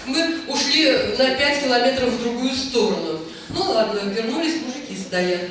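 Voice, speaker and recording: A woman's voice: on the high side, lively intonation, moderate pace, fairly bright tone. A woman telling a rambling, anecdotal story at a book presentation, hesitating often as she talks. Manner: chatty, rambling, frequent hesitations. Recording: echoey hall, voice from far off, faint noise.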